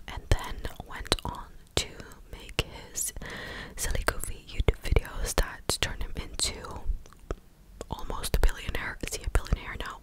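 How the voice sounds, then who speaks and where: A woman in her twenties whispering close to the mic in a breathy, casual, chatty ASMR style. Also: poor audio. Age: 20s. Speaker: ASMR video host. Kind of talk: whispered ASMR news recap. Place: small living room indoors. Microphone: large desk condenser mic, very close.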